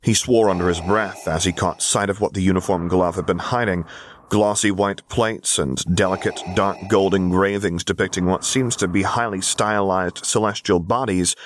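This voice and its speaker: A man's voice: low-pitched, steady tone, normal speed. A man reading scripted fiction as an audiobook narrator. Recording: audible background hiss, clicks.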